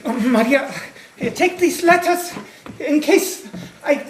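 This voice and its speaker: A man's voice: very high voice, expressive, slow, slight background noise, exaggerated voice. A man playing an imprisoned German pastor, tender, devout and weary, in an earnest stage play style. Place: theater stage. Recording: echoey stage recording.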